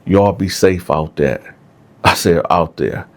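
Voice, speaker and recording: A man's voice: sits low, slow. A man with a congested, stuffed-up nose hosting his channel, telling a story casually to viewers and checking in with "right?". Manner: conversational, keeps asking "right?". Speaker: vlog host.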